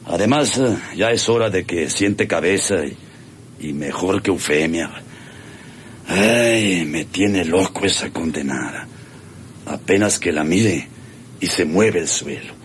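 An actor with a Mexican accent playing a proud, lovesick, threatening landowner in a rural radio drama. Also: male voice, low-pitched, animated, takes it slowly, slight background noise. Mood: lovestruck, boastful.

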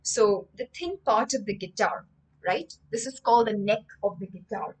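A woman's voice: mid-range voice, moderate pace, poor audio. A young woman tells a story to children as an English teacher, emphatically repeating words with synonyms. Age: young adult. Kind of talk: storytelling vocabulary lesson for children. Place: indoor room with bookshelves.

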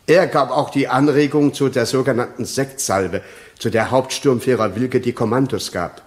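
An actor playing an earnest former soldier testifying in a radio crime drama, sober, deliberate and respectful. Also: male voice, mid-range voice, steady tone, natural voice.

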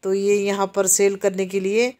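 A woman from Himachal Pradesh narrating a casual walking tour of a busy outdoor market, explaining the shops to viewers as she films while walking. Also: mid-range voice. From Himachal Pradesh, India. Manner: slow, even-toned, explaining to viewers. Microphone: handheld camera while walking.

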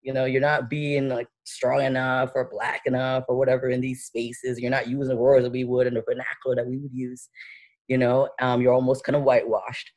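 A woman's voice: rumbling low, flat delivery, a little fast, a little mellow. A young woman speaking as a panelist on a video call from her living room. She is thoughtful and often restarts her sentences while she searches for words. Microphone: laptop webcam on a video call.

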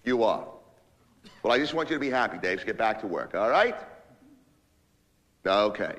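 Actor as a volatile, animated office boss. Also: male voice, lowish voice, moderate pace. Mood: falsely calm. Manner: repetitive, badgering, swinging between ranting and smooth-talking. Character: volatile, manipulative, foul-mouthed male office boss on phone calls.

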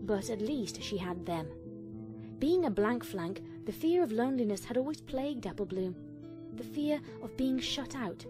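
A woman reading a scripted story as a dramatic fan fiction narrator. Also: fairly high pitch.

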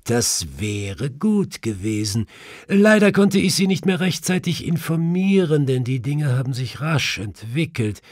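A man voicing a brisk, commanding detective with a formal, emphatic manner in an audiobook. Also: mid-range voice, sing-song, dry recording, clean studio sound, pitched above the performer's own voice. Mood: secretive, warning. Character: brisk, commanding male detective, urgent and superior.

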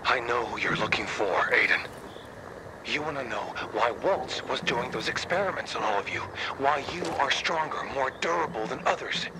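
A secretive male fixer in a post-apocalyptic game, played by a man. He is terse and knowing, with a mid-range voice. Clear ambient noise sits behind him. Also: mid-range voice, lively intonation, moderate pace, close to an everyday voice. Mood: suspicious, knowing. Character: secretive, bargaining male fixer in a ruined city. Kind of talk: video game dialogue acting.

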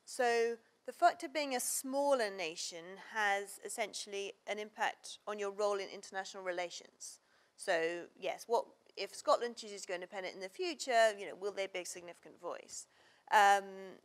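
A woman in her thirties answering a question on a conference panel, measured and explanatory, often saying "you know". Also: medium pitch. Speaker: panel researcher. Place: conference stage, seated in armchair. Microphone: lapel.

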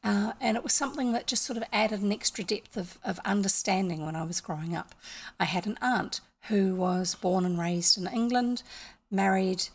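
A woman's voice: medium pitch, normal speed. An older woman from New Zealand with a New Zealand accent, chatting casually on a home stream, rambling and explaining with many asides. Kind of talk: casual storytelling chat. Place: small home room, indoors.

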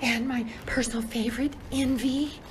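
A woman's voice: sits high, steady tone, normal speed, noisy background, lightly acted. A woman playing a sly goddess of beauty in a radio play.

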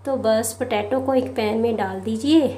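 A woman's voice: fairly high pitch, normal speed, warm tone, echoey room. A woman hosting a home cooking channel, talking viewers through a recipe in a casual, friendly way. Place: home kitchen.

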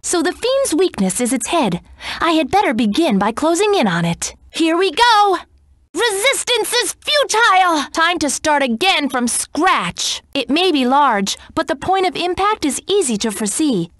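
Game role: proud young female cat knight, high voice, formal and stern, widely swinging intonation, very dry sound. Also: big pitch swings, moderate pace, fairly bright tone, dry recording. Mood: fierce, battle-ready. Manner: formal, archaic, haughty diction. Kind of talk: video game character voice clips.

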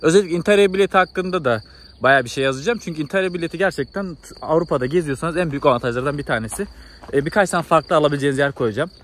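Fast-talking young man, chatty. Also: on the high side, fairly bright tone. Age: 20s. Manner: informal, chatty. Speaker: travel vlogger. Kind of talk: casual chat to camera. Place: outdoors on trails and in a village. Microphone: phone or action camera at arm's length. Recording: outdoor ambience and background noise.

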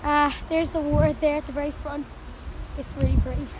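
Girl vlogging on a beach, high chatty voice, phone mic full of wind noise. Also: fairly quick. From Ireland. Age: young. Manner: chatty, apologetic, excitable. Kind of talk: casual vlog to camera. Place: sunny beach outdoors, windy. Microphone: phone camera at arm's length.

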